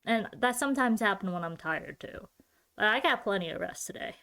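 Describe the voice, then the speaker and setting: A woman's voice: medium pitch, animated, fairly quick, creaky, a bit bright. A woman hosting her own podcast alone, talking casually and thinking aloud with a giggly, loopy manner. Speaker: podcast host and streamer. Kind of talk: casual rambling solo podcast talk.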